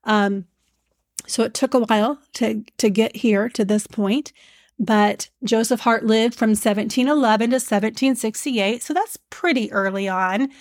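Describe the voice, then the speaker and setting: A woman with a stuffed-up nose hosting a devotional hymn podcast, speaking in a gentle, teacherly way with frequent fillers. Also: on the high side, a little slow, no echo, studio quality. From Iowa, USA. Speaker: podcast host.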